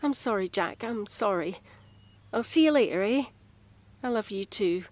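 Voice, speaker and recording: An actress playing a friendly, chatty, gently teasing Scottish home carer in an audio drama. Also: female voice, mid-range voice, expressive, moderate pace, no room sound, natural voice. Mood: apologetic, soothing.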